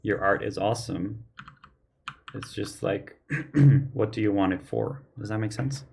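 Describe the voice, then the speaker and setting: Low-voiced man, slightly hoarse, chatting while painting. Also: unhurried, darkish tone. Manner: earnest, opinionated, thinks aloud. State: losing his voice, slightly hoarse. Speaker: artist hosting a painting livestream. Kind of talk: casual chat while painting. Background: faint keyboard and mouse clicks.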